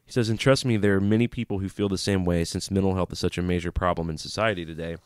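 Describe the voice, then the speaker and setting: A man's voice: sits low, fairly even intonation, fast talker, smooth, dry recording, clean studio sound. A man co-hosting a casual podcast with a stuffy nose, joking around and reading listener emails aloud. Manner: joking, breaks into singing. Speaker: podcast co-host. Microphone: studio microphone, preamp talk.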